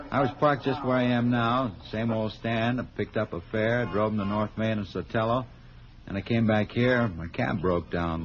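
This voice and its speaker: An actor playing a talkative, grumbling city cab driver in a 1950s radio drama, casual and slangy. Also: male voice, fairly low pitch, natural voice. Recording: dull, noisy vintage radio recording.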